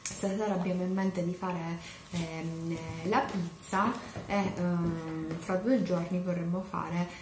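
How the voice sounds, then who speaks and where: A woman's voice: lowish voice, steady tone, slow, roomy sound, music in the background. A woman, chatting to camera as a vlogger, explaining things with lots of fillers. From Palermo, Sicily. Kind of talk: grocery haul chat to camera. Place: indoors, small home room. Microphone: camera at close range.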